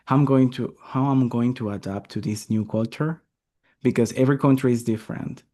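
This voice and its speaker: A man from Venezuela, a software engineer guest, answering interview questions thoughtfully with some hesitant restarts over a video call. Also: medium pitch, steady tone, fairly quick.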